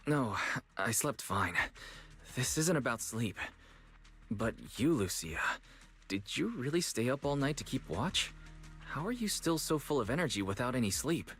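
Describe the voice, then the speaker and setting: A dependable young game hero, played by a man, calm and friendly. He speaks at a middle pitch in a natural, reassuring way, with music softly behind him. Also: normal speed, lightly acted. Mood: thoughtful. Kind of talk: video game voice acting.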